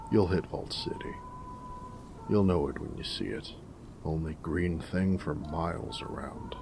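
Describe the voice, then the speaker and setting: A very deep, gravelly ghoul played by a man drawls at an unhurried pace. He is a snarky wasteland drifter and former bush pilot, wry and slangy, in a game-style audio roleplay with clear noise behind him. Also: heavily acted. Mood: wry, explaining. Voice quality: gravelly, low rasp. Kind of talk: character roleplay audio monologue in a post-apocalyptic game setting.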